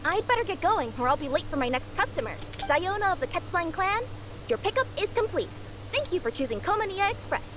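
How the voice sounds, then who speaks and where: A woman's voice: extremely high pitch, very animated, a little fast, a bit bright, slight background noise, heavily acted. A woman voicing a friendly, cheerful young cat spirit courier in a video game, breezy and polite.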